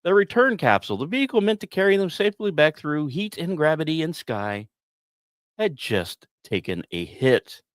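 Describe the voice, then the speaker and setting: A man hosting a podcast, delivering scripted storytelling narration with dramatic emphasis and deliberate pauses. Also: a little high, very animated, dry recording, studio quality. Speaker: podcast host.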